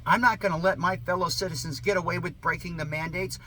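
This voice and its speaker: A man's voice: high-pitched, steady tone, fast, pushed and bright. A man reading a satirical monologue aloud in a sarcastic, mock-earnest, theatrical way with exaggerated emphasis. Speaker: reader of a satirical article.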